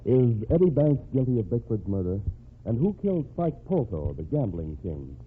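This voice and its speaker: A man's voice: medium pitch, big pitch swings, moderate pace, phone-quality sound. A man with an American accent announcing and narrating a radio mystery in a dramatic old-time style. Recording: thin, band-limited, noisy old radio recording.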